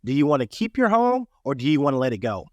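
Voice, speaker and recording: A man hosting an instructional podcast, his voice raspy from a cold, talking in an earnest, explaining way. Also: mid-range voice, very animated, fast talker, no room sound, dull audio. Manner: earnest, repeats "right?" and "that being said". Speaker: podcast host. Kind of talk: casual instructional podcast talk.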